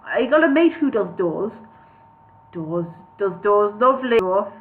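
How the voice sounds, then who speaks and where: A man's voice: high-pitched, big pitch swings, unhurried. A man voicing a cheerful, rambling storybook dwarf with a put-on Welsh accent. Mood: chatty, apologetic. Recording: tinny, phone-like narrow-band audio.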